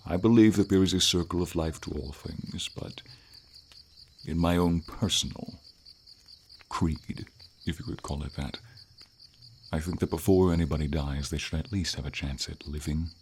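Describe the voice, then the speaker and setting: A man voicing a friendly, noble silver dragon in an ASMR fantasy roleplay, speaking in an unhurried, thoughtful and slightly formal way with pauses. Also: extremely low pitch, slight background noise. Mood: earnest, gently defensive. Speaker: voice actor. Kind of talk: ASMR fantasy roleplay, slow intimate storytelling. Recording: ambient nature sounds, crickets, light rain.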